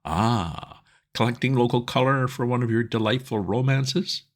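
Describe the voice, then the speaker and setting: A man voicing a pompous elderly English lord who speaks in stiff, formal, measured sentences. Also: mid-range voice, voice pushed up, natural voice. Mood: stately. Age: older.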